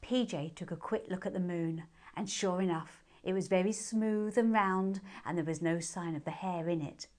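A woman's voice: fairly low pitch, normal speed, few pauses, a little mellow. A woman with a British accent reading storybook narration aloud expressively for children.

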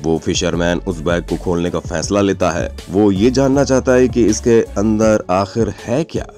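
A man's voice: low voice, steady tone, moderate pace, a little mellow. A man reading scripted narration for an online video, addressing the listener directly as he tells it. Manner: addresses listeners with rhetorical questions. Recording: music under the voice. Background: background music.

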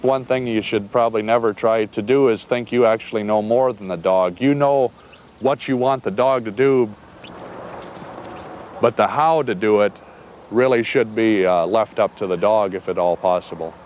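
A man from Iowa, a sheepdog trainer, talking calmly and plainly outdoors, with some fillers. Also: lowish voice, moderate pace, slight background noise. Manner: calm, plainspoken, with fillers and restarts. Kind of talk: casual interview talk. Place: outdoors on a farm.

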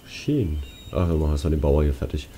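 A man's voice: rumbling low, brisk, warm tone, slight background noise. A young man giving casual gameplay commentary, slangy and muttering to himself. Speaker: let's player.

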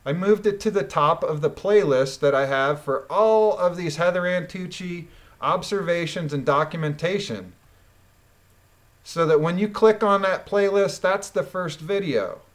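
A woman's voice: fairly low pitch, animated, unhurried. Blunt, indignant woman commentator. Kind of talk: reading a court transcript aloud with critical commentary.

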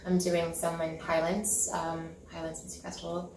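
A Norwegian woman in her thirties talks casually about her plans, full of ums, restarts and little laughs. Her voice sits low with a gravelly edge. She is picked up from across an echoey living room, with a faint hiss underneath. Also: monotone, moderate pace, darkish tone. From Norway. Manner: chatty, giggly, lots of ums and restarts. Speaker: interview guest, concert pianist. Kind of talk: casual interview chat. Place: home living room with piano, indoors. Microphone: far camera mic. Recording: echoey room, mic at a distance.

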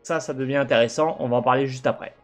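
A man's voice: mid-range voice, fast, soft background music. A young man hosting a gaming video, commenting over gameplay with lots of fillers and restarts, on a headset mic. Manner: animated, talks with his hands, many fillers and restarts. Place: home room with gaming chair.

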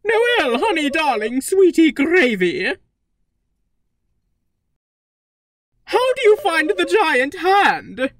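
Very high-pitched, grandiose robot queen villain. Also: very animated, no room sound, studio quality, full character voice. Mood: smug, playful. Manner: theatrical, deliberate, grandiose. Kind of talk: fan-dubbed video game villain monologue. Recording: background music under the voice.

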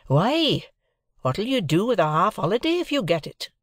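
Peaceable country smith for a classic story, voiced by a narrator: raised pitch, plain words, placating and steady. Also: no echo, clean studio sound. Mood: mild, reasonable. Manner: slow, simple, placating country speech. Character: plain, steady master blacksmith, mild and peaceable husband.

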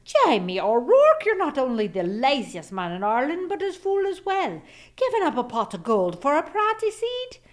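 A woman voicing an exasperated, sharp-tongued Irish farm wife in a storybook read-aloud. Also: high voice, huge pitch swings, normal speed, pitched above the performer's own voice. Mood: furious.

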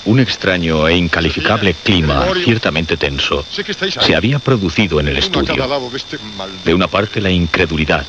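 A man narrating a suspense radio drama in a measured, literary, ominous way. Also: deep voice, animated, a crowd in the background. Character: sober, ominous male narrator of suspense tale. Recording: dull old radio recording, murmuring background.

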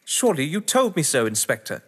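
A man's voice: fairly high pitch, big pitch swings, brisk, husky. A man acting in an audio drama as a witty, haughty husband under questioning, verbose, sardonic and theatrical. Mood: indignant. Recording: echoey hall-like reverb.

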